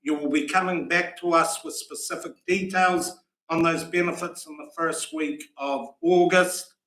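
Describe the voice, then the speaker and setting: A man's voice: a little high, steady tone, a little slow, a bit bright, echoey room, low-quality recording. A man chairing a council meeting, firm and orderly, directing questions to the room. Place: council chamber.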